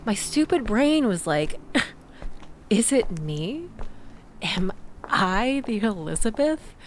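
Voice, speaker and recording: Actress doing a young woman venting in an audio roleplay, unhurried but super expressive, rambly and self-deprecating, bit of background noise. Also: mid-range voice. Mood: incredulous, animated. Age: young adult. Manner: rambling, self-deprecating, laughs often, animated storytelling. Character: chatty, exasperated young woman recounting bad date. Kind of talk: audio roleplay, naturalistic casual chat.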